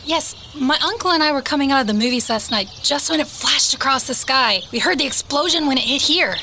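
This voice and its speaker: A woman's voice: high voice, expressive, a little fast. A woman acting in a radio drama as an eager, chatty, admiring young woman who likes to insist. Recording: noisy radio play recording.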